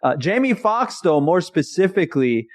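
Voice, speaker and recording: A man in his thirties from Iran, a comedian telling his story in a casual interview with jokey, animated energy. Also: sits high, moderate pace, dry recording, studio quality. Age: 30s. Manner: jokey and animated, often laughing mid-sentence. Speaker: guest, actor and comedian.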